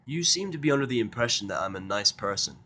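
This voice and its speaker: A man's voice: mid-range voice, expressive, quick pace, pushed and bright, slight background noise. A man playing an irritable, sarcastic, foul-mouthed human in a fan fiction audio drama, blunt and impatient. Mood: smug.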